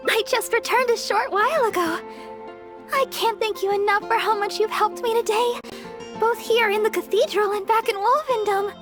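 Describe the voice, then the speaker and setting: A woman voicing a sweet, earnest young church sister in an anime game, speaking politely and eager to please. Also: very high-pitched. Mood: grateful, cheerful. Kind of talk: anime game character voice acting. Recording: music behind the voice. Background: game music.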